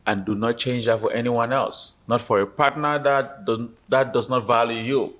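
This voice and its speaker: A man's voice: mid-range voice, steady tone, moderate pace, fairly bright tone. A man on a reunion panel speaking earnestly, encouraging a fellow guest.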